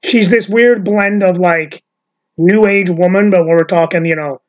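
A woman's voice: on the low side, fairly even intonation, measured pace, darkish tone. A woman hosting a loose, unscripted podcast conversation with her sister. She rambles from story to story, leaning on "like" and dropping casual swears. The recording sounds a little dull and lo-fi. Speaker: podcast host. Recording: dull lo-fi audio.

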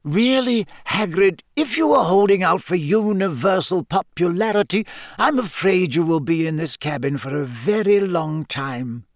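A man performs an elderly wizard headmaster from a storybook. The voice sits high and swoops gently through measured, formal phrases. He is calm and authoritative, with a dry, whimsical wit. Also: no echo. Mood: stern, kindly. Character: wise old male wizard headmaster, wry, calm and authoritative.

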